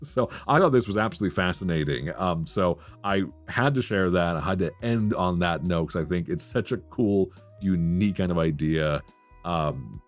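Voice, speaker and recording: A man's voice: low-pitched, normal speed, faint music behind. A man hosting a solo podcast in a casual, conversational way, speaking with enthusiasm and emphasis. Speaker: podcast host.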